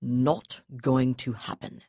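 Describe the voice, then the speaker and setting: A woman voicing a cold, contemptuous male navy commodore who speaks with calm, clipped precision. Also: very deep voice, normal speed, dry recording, clean studio sound, heavily acted. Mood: icy.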